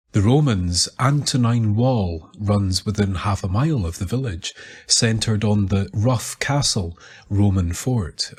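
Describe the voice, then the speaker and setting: A man narrating a scripted documentary story in a measured, deliberate manner. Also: lowish voice, husky. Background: music.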